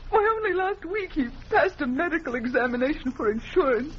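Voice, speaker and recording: An actress playing a distraught young widow, tearful and grieving, in melodramatic 1940s radio style. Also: female voice, high-pitched, lively intonation, a little fast. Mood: grief-stricken. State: tearful, crying. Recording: dull, noisy old radio recording.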